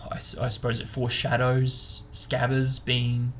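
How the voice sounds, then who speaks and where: A man with a mid-range, noticeably creaky voice hosts a relaxed book discussion. He explains things informally and wanders into speculation as he goes. There is faint noise in the background. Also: mid-range voice, unhurried. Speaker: host of a book discussion show. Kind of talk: casual chat, book discussion.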